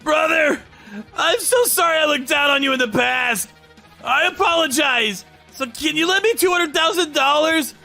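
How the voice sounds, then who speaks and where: A man's voice: extremely high pitch, normal speed, creaky, pushed and bright, soft background music, voice pushed up, heavily acted. A man voicing an arrogant, whining younger brother, an overexcited salesman, in a dramatized story. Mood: begging. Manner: sneering, overexcited, pleading.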